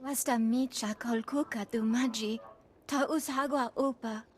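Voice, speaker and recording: Woman as a high-voiced alien. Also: steady tone, unhurried, slight background noise, natural voice. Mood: businesslike. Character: female alien speaking an invented tongue. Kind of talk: video game acting.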